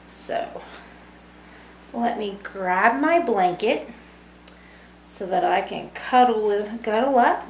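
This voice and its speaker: A woman's voice: mid-range voice, animated, slow pace, vocal fry, long pauses, darkish tone. A woman in her thirties doing a storytime read-aloud, talking simply to young children. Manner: simple, child-directed talk with tag questions. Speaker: student volunteer reading a picture book to children. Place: small room with brick wall, indoors. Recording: echoey room with background noise.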